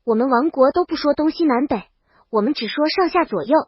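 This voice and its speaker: A woman voicing a bold, bossy young princess in an audiobook, brisk, blunt and self-assured. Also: sits high, lively intonation, no room sound. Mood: stubborn. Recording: dull, lo-fi dry voice track.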